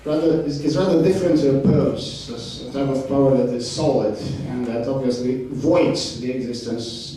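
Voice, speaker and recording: A man speaking on a discussion panel, halting, with many fillers and restarts. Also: medium pitch, dark tone. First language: Romanian. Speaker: panel participant. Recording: echoey hall, distant mic, faint noise.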